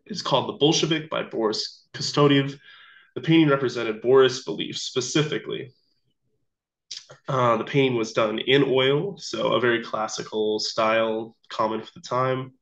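A young man, sick and a little off voice, walking through a slideshow activity in a casual, halting way with many ums. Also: mid-range voice, fairly even intonation, fairly bright tone. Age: young adult. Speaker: student teacher presenting an activity. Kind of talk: recorded slideshow walkthrough. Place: small room indoors. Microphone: laptop webcam mic. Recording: dull lo-fi video call audio.

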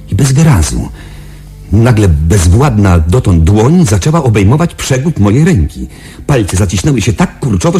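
An actor playing a shaken young space patrol pilot, confiding his story in a halting, dramatic radio-play delivery. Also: deep voice, mellow, exaggerated voice. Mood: tense, hushed, then agitated, horrified.

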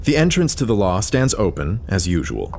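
A man's voice: deep voice, wildly swooping pitch, normal speed, creaky, a little mellow, slight background noise. A man narrating a story in an audio drama, reading scripted prose as the narrator.

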